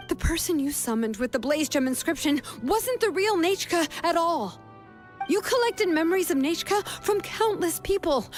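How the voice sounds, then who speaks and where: Young female craftswoman for a dramatic game: high voice, earnest, solemn, firm yet compassionate. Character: young woman, stern compassionate craftswoman confronting her grieving aunt. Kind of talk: dramatic video game voice acting.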